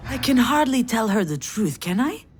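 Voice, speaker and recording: A woman's voice: on the high side, big pitch swings, normal speed, a little hiss or hum. An actress playing a frazzled, self-deprecating woman who narrates her inner monologue in a visual novel game, sardonic and confessional. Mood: flustered, apologetic. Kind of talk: visual novel voice acting, first-person narration.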